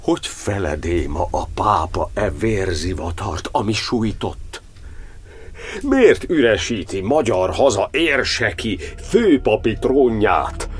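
A man's voice: on the low side, very animated, a little hiss or hum. An actor playing a fervent, grieving churchman, declaiming an impassioned poetic monologue in a radio drama. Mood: bitter, accusing. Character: fervent, grieving churchman preaching faith amid war.

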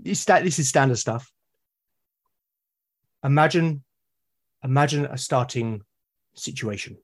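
A man's voice: mid-range voice, measured pace. A British man, a podcast guest, talking in a reflective, casual way and often restarting and repeating words mid-sentence. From Britain.

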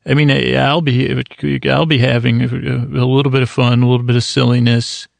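Sleepy podcast guy rambling on, super gravelly fry, dead dry close sound, keeps trailing off and restarting. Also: mid-range voice, level tone, no echo. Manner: meandering, halting, full of fillers and self-corrections; deliberately slow and mild to help listeners sleep. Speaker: podcast host. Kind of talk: rambling bedtime podcast intro.

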